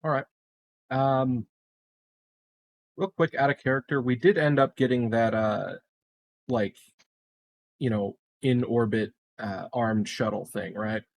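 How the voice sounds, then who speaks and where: Mid-pitched man in casual game chat. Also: slow, creaky, long pauses. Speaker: player in tabletop game.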